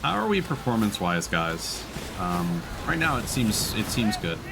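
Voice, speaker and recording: A man's voice: on the low side, big pitch swings, normal speed, vocal fry. A man giving casual live gameplay commentary, musing and thinking aloud in a hesitant way. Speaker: streamer playing a video game. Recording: stream with game noise behind. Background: video game battle sounds.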